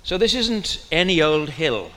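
Welsh preacher in a reverberant hall. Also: male voice, on the high side, big pitch swings, a little slow, a bit bright. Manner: conversational, asks the listeners questions. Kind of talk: sermon.

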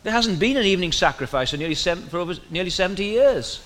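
A man's voice: high-pitched, expressive, fast talker, fairly bright tone, big-room sound, a little hiss or hum. A man with a Welsh accent preaching a sermon in a conversational way, often asking his listeners questions.

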